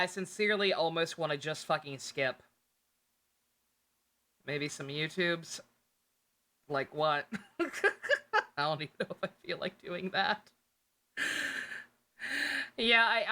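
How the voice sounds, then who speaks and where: Bright-voiced tired livestreamer, rambling casually. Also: medium pitch. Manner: rambling, thinking aloud, profane. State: tired, short on sleep. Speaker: game livestreamer. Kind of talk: casual livestream chat to viewers.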